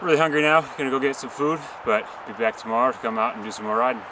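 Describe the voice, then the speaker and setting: A man's voice: medium pitch, racing. A man giving casual commentary for a vlog, recorded on an action camera out on snowy trails. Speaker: vlogger. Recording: loud outdoor action-camera noise.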